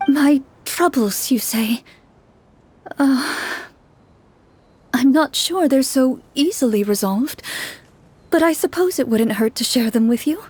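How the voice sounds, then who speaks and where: A woman's voice: high voice, expressive, slow, long pauses, darkish tone, slightly rough, pitched above the performer's own voice, exaggerated voice. A woman voicing a timid, troubled townswoman in a fantasy game, polite and hesitant. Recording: music under the voice.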